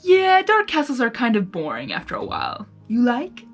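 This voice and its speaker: A woman's voice: a little high, huge pitch swings, moderate pace, soft background music, heavily acted. A woman voicing a male chaos creature, a blunt, sly, grumbling newlywed husband in a fan fiction story. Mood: smug, teasing.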